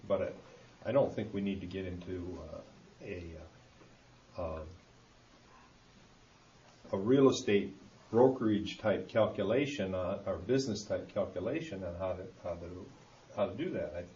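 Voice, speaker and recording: A man speaking in a halting, deliberate way as a board member at a public meeting in a hall. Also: low-pitched, warm tone. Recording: muffled, echoey meeting room, background hiss.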